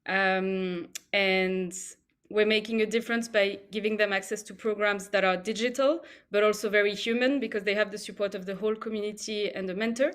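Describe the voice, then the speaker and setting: A woman's voice: medium pitch, fairly even intonation, a little fast, a bit bright. A woman speaking on a panel about the entrepreneur network she runs, in an earnest, conversational way. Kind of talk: online panel talk.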